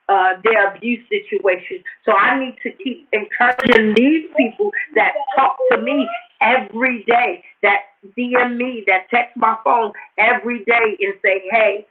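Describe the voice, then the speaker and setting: The audio is narrow and phone-like. A woman with a slightly hoarse, raspy voice of medium pitch hosts a casual motivational talk. She addresses her viewers directly and emphatically, often repeating key phrases. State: a little hoarse. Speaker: host of a motivational talk show. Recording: tinny, phone-like narrow-band livestream audio.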